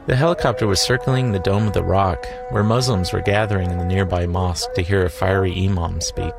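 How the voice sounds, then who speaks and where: Low-voiced dry male travel narrator. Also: fairly even intonation, brisk, creaky. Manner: dry, wry, reflective. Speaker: documentary narrator. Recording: voice-over with music behind.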